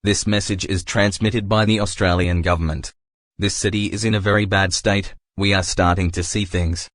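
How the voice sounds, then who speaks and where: A man's voice: deep voice, fairly even intonation, normal speed, fairly bright tone, no echo, studio quality. A man playing a sober government announcer, reading an official scripted message.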